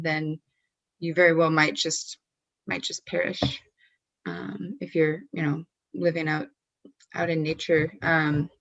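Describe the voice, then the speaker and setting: A woman who coaches wellness tells a story in an easygoing, conversational way. She hesitates often, filling gaps with "um" and restarting her thoughts. Her voice sits a little on the low side. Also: rough recording. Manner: hesitant, many ums and restarts.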